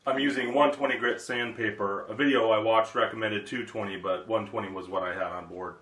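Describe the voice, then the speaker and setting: A man's voice: on the low side, fairly even intonation, brisk, a bit bright, echoey room, a little hiss or hum, rough recording. A man giving a plain, matter-of-fact how-to narration about work on his sailboat. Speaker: vlogger refitting his sailboat. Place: aboard a boat in a marina.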